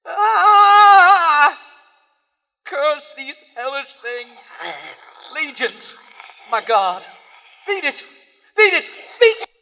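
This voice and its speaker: A man's voice: very high-pitched, animated, very slow, long pauses, pushed and bright, full character voice. A man playing a doomed, horrified explorer who pleads urgently in an old-time radio horror play, in a reverberant hall. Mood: screaming terror. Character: doomed, terrified male explorer urging his friend to flee.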